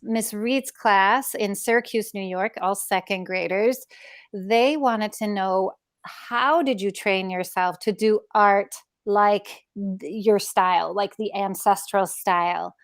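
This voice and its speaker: A woman's voice: mid-range voice, slow, no echo, clean studio sound. A woman hosting a conversational interview, talking in an enthusiastic, emphatic way like a teacher. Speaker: interviewer and host, middle school teacher.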